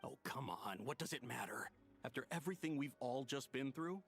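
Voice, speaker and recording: A man's voice: mid-range voice, animated, brisk, faint music behind, close to an everyday voice. A man playing an easygoing ordinary townsman in a video game, casual and dismissive. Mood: casual, defensive. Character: easygoing, hungry ordinary man, brushing off questions.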